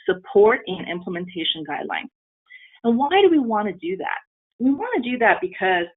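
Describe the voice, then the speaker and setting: A woman from Taiwan whose first language is Mandarin Chinese, presenting a training webinar in an earnest way with frequent ums and restarts. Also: medium pitch. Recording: tinny phone-line audio.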